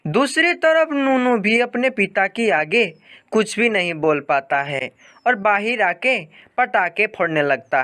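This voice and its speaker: Children's story narrator: unhurried delivery, lively melodic intonation, scripted read. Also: mid-range voice.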